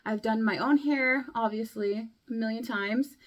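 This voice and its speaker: A young woman vlogging casually to camera, chatty and rambling, her voice a bit hoarse from a cold. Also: on the high side, unhurried, highs cut off. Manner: chatty, rambling, many likes and ums. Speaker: beauty vlogger.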